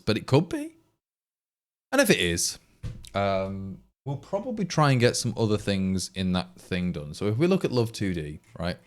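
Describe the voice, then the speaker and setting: An English man with an East Midlands accent, chatting casually to stream viewers in a rambling, exasperated way with many restarts. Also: fairly low pitch. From England. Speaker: livestream programmer.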